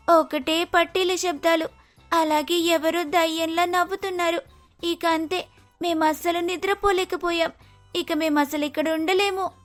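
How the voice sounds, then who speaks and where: A woman as high-pitched, scheming young daughter-in-law. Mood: feigned panic. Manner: insistent, dramatic. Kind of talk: cartoon moral story dialogue.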